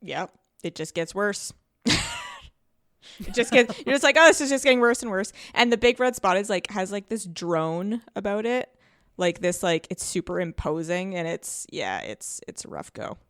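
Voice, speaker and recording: A woman's voice: mid-range voice, expressive, moderate pace, fairly bright tone, no echo, studio quality. A woman in her thirties chatting casually as a podcast guest, animatedly acting out the lines she quotes. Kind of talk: casual chat.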